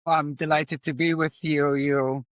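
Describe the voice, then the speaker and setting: An older man with an Israeli accent speaks as an interview guest, a finance professor. He tells his stories in a measured, wry way, with a slightly higher voice. The recording sounds dull and very dry. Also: flat delivery, no echo. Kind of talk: conversational interview.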